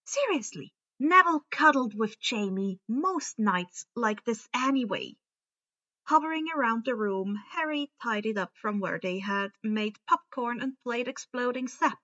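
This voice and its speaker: Woman story reader, bright forward voice, expressive audiobook narration, slightly lo-fi recording. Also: a little high, measured pace.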